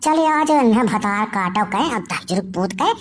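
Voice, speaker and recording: A woman's voice: mid-range voice, expressive, a little slow, a little hiss or hum, lightly acted. A woman voicing a furious village aunt with an Awadhi accent, scolding and cursing in earthy style for a comedy cartoon. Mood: angry scolding. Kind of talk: comic cartoon dialogue.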